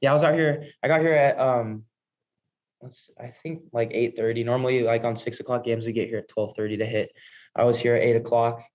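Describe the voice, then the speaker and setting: A man's voice: medium pitch, steady tone, fairly quick, darkish tone. A young American man in his early twenties, a college ballplayer answering post-game questions on a video call. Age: early 20s. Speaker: college baseball player. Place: indoor press room before a backdrop. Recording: dull, lo-fi video call audio.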